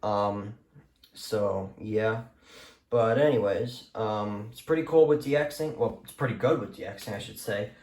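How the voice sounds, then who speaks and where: A man's voice: on the low side, a little slow, darkish tone. Casual, rambling male hobbyist. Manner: hesitant, offhand, mutters to himself. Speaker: hobbyist showing a radio collection. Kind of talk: casual rambling show-and-tell.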